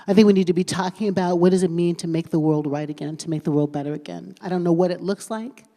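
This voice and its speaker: A woman's voice: on the low side, steady tone, fast, dark tone. Earnest woman panelist in a big hall. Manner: earnest, repeats phrases while thinking aloud. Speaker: pastor on a panel. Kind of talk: panel discussion. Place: lecture hall at a conference. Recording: large hall with long reverb.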